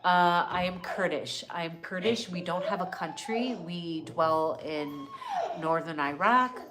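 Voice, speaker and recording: A woman's voice: lowish voice, measured pace. A middle-aged woman from North America hosting a casual live wellness Q&A, chatty and earnest as she reads out viewer questions. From born in Canada, grew up in California. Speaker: wellness livestream host.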